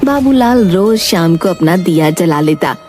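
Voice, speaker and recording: A woman's voice: on the low side, animated, measured pace, a little mellow, soft background music. A woman narrator reading a scripted fairy tale as a storyteller.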